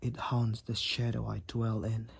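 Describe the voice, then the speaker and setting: A man performs a devoted, protective vampire boyfriend in an intimate audio drama. His voice is very husky and breathy, with a gravelly creak in it. He speaks slowly and formally, in tender, old-fashioned courtly language. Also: lowish voice, completely flat delivery, full character voice. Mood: devoted, reverent. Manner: archaic, formal, tender, slow and deliberate wording.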